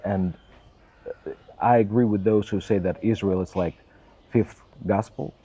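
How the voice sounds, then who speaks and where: A man's voice: on the low side, fairly even intonation, unhurried, mellow, breathy edge, poor audio. A middle-aged man from Russia speaking English with a Russian accent, a church assistant answering interview questions earnestly and with careful, self-taught phrasing.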